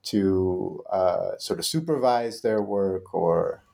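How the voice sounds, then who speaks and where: A man's voice: low-pitched, slow pace, vocal fry, mellow, slight background noise. A man hosting an interview, asking questions in a hesitant way and rephrasing as he goes. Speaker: podcast host.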